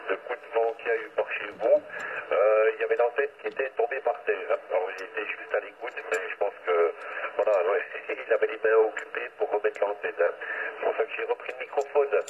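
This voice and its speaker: Male CB operator, fairly low voice, casual, narrow tinny radio sound. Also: a little fast. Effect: heard over two-way radio. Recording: tinny radio transmission with clear static.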